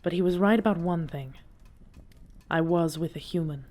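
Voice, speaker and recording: A woman's voice: fairly low pitch, normal speed, a little mellow, close to an everyday voice. A woman playing a defiant, principled dragon princess in an audio drama, speaking formally and with earnest emphasis. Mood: grave, measured. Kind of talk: dramatic audio roleplay acting.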